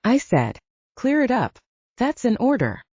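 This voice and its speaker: A woman's voice: a little high, huge pitch swings, a little slow, a little mellow, husky, no room sound, lo-fi sound, voice pushed up, heavily acted. A woman voicing a stern, short-tempered male leader of a werewolf military team in an audiobook, with a curt, commanding manner.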